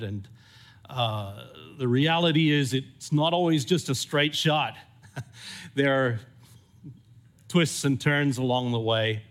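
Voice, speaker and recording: A middle-aged man with a mixed American and southern African accent, preaching a heartfelt sermon as a church elder, with frequent ums. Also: mid-range voice. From California. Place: church service.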